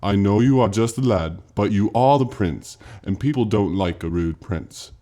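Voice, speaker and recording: A man's voice: on the low side, expressive, moderate pace, lightly acted. A man playing a mild, fatherly king. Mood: mild, firm. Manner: gently admonishing, formal. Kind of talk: radio drama acting.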